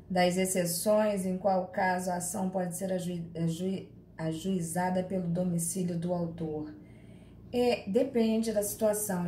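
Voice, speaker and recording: A woman's voice: on the low side, mellow. A chatty woman with a Carioca accent lectures on law, teasing her students by name between points. Her voice sits in an ordinary middle range. She is recorded in an echoey room with faint ambient noise behind her. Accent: Carioca Portuguese. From Rio de Janeiro, Brazil. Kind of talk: live online class, reading chat questions.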